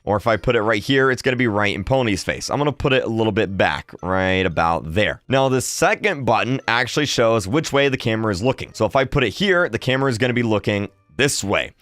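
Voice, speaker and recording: A man's voice: lowish voice, expressive, quick pace, hardly any pauses, a bit bright, no echo. A young adult man giving a casual, chatty tutorial commentary as a gaming video host, upbeat and close on the microphone. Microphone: close desk microphone on boom arm.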